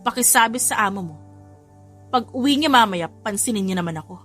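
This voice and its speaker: A woman's voice: medium pitch, animated, fairly quick, fairly bright tone. Lady playing a feisty hurt young bride in an audio story, sassy, music behind. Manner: indignant, sassy.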